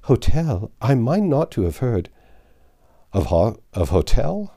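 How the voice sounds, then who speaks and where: A man's voice: medium pitch, big pitch swings, slow, warm tone, heavily acted. A man voicing a pious, courteous medieval monk in a novel reading, with formal, archaic speech. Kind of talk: audiobook character dialogue.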